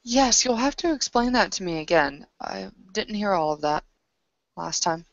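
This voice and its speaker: A woman's voice: mid-range voice, expressive, moderate pace, creaky, dry recording, dull audio. A woman playing a tabletop roleplaying game, speaking casually both in character and as herself.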